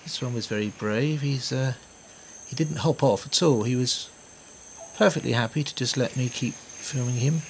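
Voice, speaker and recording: A man's voice: medium pitch, moderate pace. A man filming a casual travel video diary, chatting and joking to the camera with gushing exclamations, recorded on a camcorder mic outdoors and in a cave. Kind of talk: casual handheld commentary. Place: outdoors in rainforest and inside a cave. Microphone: built-in camcorder mic. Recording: hissy noisy camcorder audio.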